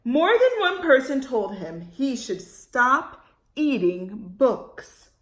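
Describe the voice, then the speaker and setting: Woman, children's storytime reader. High voice, very lively pitch swings, expressive, poses questions to young listeners. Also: reverberant. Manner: expressive, dramatic pauses, asking listeners questions. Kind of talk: animated read-aloud for young children.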